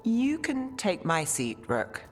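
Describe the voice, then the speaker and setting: A woman's voice: medium pitch, huge pitch swings, slow pace, soft background music, full character voice. A woman playing a wry, mercenary potion seller in a fantasy game, with a dry delivery and a reverberant sound.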